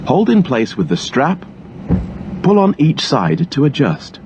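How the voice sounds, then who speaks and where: A man's voice: on the low side, darkish tone. A man reading scripted airline cabin announcements in a formal, instructional manner. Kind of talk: scripted cabin safety announcement, read aloud. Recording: muffled lo-fi audio with engine-like cabin noise.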